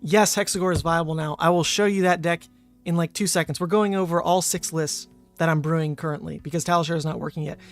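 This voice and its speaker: A man with a hoarse, partly lost voice, chatting casually with viewers as a card game streamer. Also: fairly high pitch, fairly even intonation, quick pace, soft background music. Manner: rambling and chatty, frequent "uh" and "like". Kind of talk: casual livestream chat.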